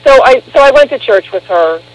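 High-voiced emphatic woman guest. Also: brisk, low-quality recording. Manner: emphatic, animated storytelling. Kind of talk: radio interview.

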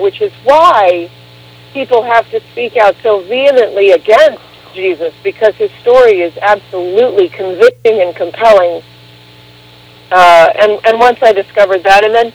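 A woman's voice: medium pitch, low-quality recording. A woman guest on a radio interview, telling her story emphatically and with animation.

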